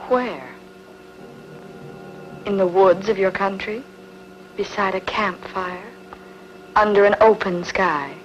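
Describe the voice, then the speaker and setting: An actress playing a proud, seductive, cunning queen in a dubbed historical epic, with theatrical, measured delivery. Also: mid-range voice, lo-fi sound, slight background noise, lightly acted. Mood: questioning.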